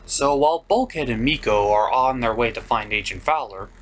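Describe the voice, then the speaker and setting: A man's voice: medium pitch, normal speed, slight background noise. A man narrating a scripted review in a sarcastic, mocking, sometimes profane manner. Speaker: cartoon reviewer, host.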